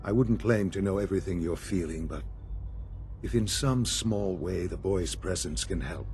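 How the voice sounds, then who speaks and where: Actor as a deep-voiced, measured authority figure. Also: male voice, darkish tone, faint music behind, lightly acted. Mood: sympathetic, measured. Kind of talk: video game dialogue acting.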